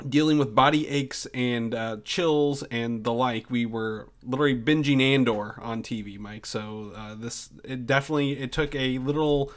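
A man's voice: medium pitch, normal speed, hardly any pauses. Stuffed-up guy hosting a podcast, lots of ums and uhs, rambly. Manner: rambling with many fillers and restarts. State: congested with flu. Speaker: podcast co-host. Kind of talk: casual podcast chat.

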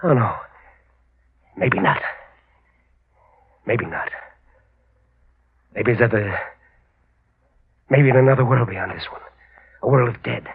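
Halting, gravelly man obsessed with revenge. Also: mid-range voice, exaggerated voice. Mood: desperate, grieving. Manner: melodramatic, halting, muttering repeated phrases. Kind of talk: 1940s radio horror acting. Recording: muffled, crackly old radio recording.

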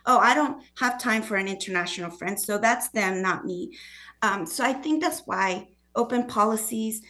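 A woman with a foreign accent talks as an interview guest and author. Her tone is earnest and conversational. She acts out other people's remarks as she goes, at an ordinary pitch for a woman. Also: moderate pace, bright, forceful tone. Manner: earnest, acts out quoted voices.

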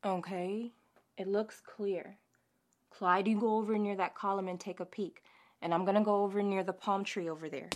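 A woman's voice: mid-range voice, steady tone, moderate pace, natural voice. A woman playing a practical, matter-of-fact leader of zombie survivors in an amateur audio drama. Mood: taking charge.

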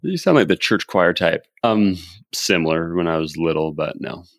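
A man's voice: sits low, animated, normal speed, dry recording, studio quality. Upbeat man hosting a podcast. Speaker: podcast host. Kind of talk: casual podcast chat and summary.

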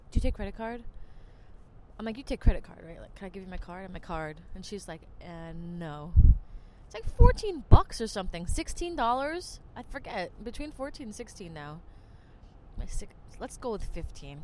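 A woman's voice: medium pitch, big pitch swings, fairly quick, a little mellow, a little hiss or hum. A woman from New Jersey, a podcast host telling rambling stories in casual chat. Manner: chatty, rambling, lots of "like". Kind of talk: casual storytelling monologue.

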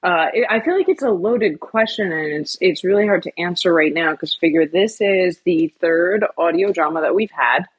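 A woman's voice: fairly low pitch, normal speed, hardly any pauses, husky, dull audio. A woman co-hosting a podcast, speaking thoughtfully and hesitantly with many ums. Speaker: podcast co-host. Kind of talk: casual podcast discussion.

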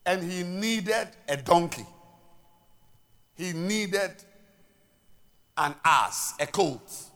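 A man with a Ghanaian accent preaching a sermon as pastor, swinging between loud declarations and quiet asides and repeating words as he restarts. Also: sits high.

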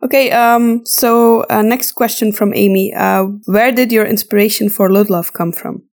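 A woman's voice: medium pitch, fairly even intonation, moderate pace, a little mellow. A woman speaking casually and unscripted as a podcast co-host. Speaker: podcast co-host reading listener questions.

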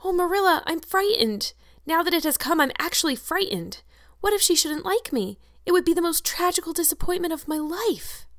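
A woman's voice: a little high, fairly quick, no room sound, studio quality, natural voice. A woman voicing an anxious, dramatic orphan girl in a storybook, gushing and earnest with old-fashioned long words. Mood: frightened, breathless excitement. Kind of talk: audiobook character acting.

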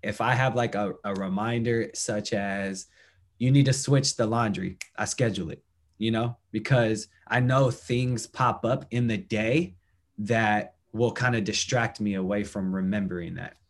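Young male host, lowish voice, friendly and talkative. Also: unhurried. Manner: friendly and talkative, frequent fillers and affirmations. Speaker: podcast host. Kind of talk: casual interview chat.

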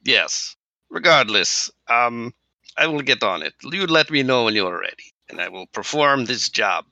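A man doing a gravelly deadpan Russian hacker. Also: mid-range voice, a little slow, no room sound, full character voice. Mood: businesslike. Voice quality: gravelly put-on Russian accent. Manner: deadpan, clipped broken English. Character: gruff Russian male hacker, dry and businesslike.

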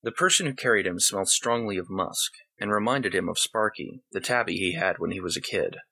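A man's voice: sits low, expressive, brisk, pushed and bright, breathy edge. A man reading a short story aloud as scripted narration.

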